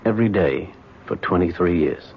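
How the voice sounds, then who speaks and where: A man's voice: sits low, normal speed, slightly rough. An actor playing a weary, evasive middle-aged businessman in an old-time radio drama, speaking in a hesitant, pleading way. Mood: wistful, halting. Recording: muffled, noisy vintage radio recording.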